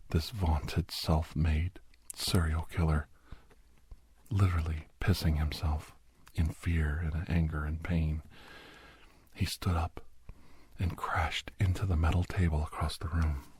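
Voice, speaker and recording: A man playing a grim doctor recounting a bloody werewolf rescue in a hushed, breathy, halting ASMR storytelling style. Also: a bass voice, fairly even intonation, dry recording, full character voice. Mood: grim, awed. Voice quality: breathy, husky near-whisper. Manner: hushed, halting, dramatic delivery. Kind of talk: whispered ASMR horror storytelling.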